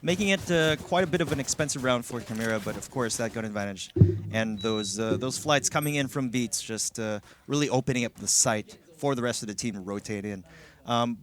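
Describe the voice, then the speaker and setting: A man's voice: medium pitch, brisk, a bit bright, slight background noise. Filipino man casting an esports match. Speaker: esports shoutcaster. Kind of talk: analytical live game commentary.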